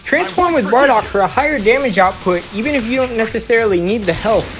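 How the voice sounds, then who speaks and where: A woman's voice: fairly high pitch, lively intonation, quick pace. A woman giving an instructional, step-by-step voice-over as the narrator of a gameplay guide. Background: music.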